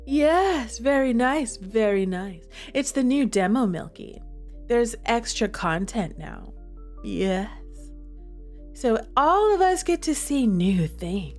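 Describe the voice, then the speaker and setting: Woman streamer reading a game out loud, dramatic, kinda high and slow, faint music behind. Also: very animated. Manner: dramatic, chatty, reads game text with feeling. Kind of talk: playing and reading a visual novel aloud.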